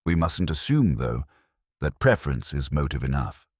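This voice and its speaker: A man voicing a calm, thoughtful police inspector who speaks in measured, detached, dryly philosophical sentences. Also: very deep voice, breathy edge, dry recording, clean studio sound, exaggerated voice. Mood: musing.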